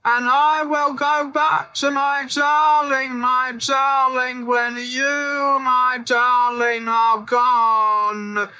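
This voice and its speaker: A man's voice: very high-pitched, little pitch movement, extremely slow, few pauses, pushed and bright, clean tone, no echo, dull audio, voice pushed up, heavily acted. A man voicing a bitter, defiant old drunkard in an audiobook, wailing and despairing. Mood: maudlin. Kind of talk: audiobook character voice.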